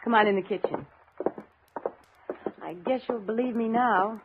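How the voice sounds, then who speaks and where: A woman playing an anxious, chatty young woman in a 1950s radio drama, earnest and expressive. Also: fairly high pitch, unhurried. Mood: chatty, settling. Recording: muffled, noisy 1950s radio recording.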